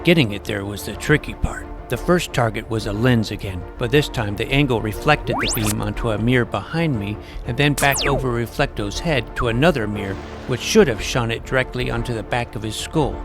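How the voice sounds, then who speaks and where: A man playing an earnest, nerdy schoolboy superhero who narrates his own adventure in a deadpan first-person style. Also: medium pitch, brisk, few pauses, natural voice. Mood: earnest narrating. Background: music.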